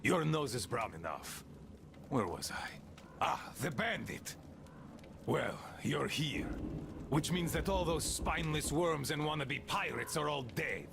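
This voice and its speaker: A gravelly, mocking gang leader. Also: male voice, on the high side, expressive, a little slow, noisy background. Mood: scornful. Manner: mocking, domineering. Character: gruff paranoid older male gang leader. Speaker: the Admiral.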